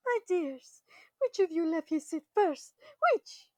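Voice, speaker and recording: A very high, closely recorded voice of a nervous mystic woman teacher, acted by a woman. She is theatrical and superstitious, delivering audio drama lines with heavy foreboding. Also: animated, slow pace, dry recording, exaggerated voice. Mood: alarmed. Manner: theatrical, doom-laden. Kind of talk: fan audio drama acting.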